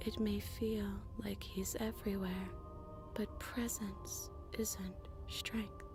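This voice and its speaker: A woman playing an eerie, serene princess creature in a horror game, speaking in a breathy, hushed and deliberate way with quiet defiance. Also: mid-range voice, flat delivery, music in the background. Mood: quietly defiant. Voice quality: breathy, whispery. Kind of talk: voiced visual novel horror acting.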